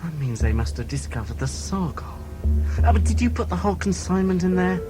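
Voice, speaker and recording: A man's voice: high-pitched, sing-song, a little fast, music in the background. A man playing a ruthless, scheming smuggler in an audio drama, with an edgy, conspiratorial manner.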